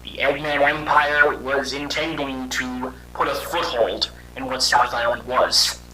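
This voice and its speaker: A man voicing a weary young robot hedgehog, telling his story in a halting, conversational and dramatic way for a fan audio drama. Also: mid-range voice, level tone, crowd noise, close to an everyday voice, poor audio. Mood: somber, reflective.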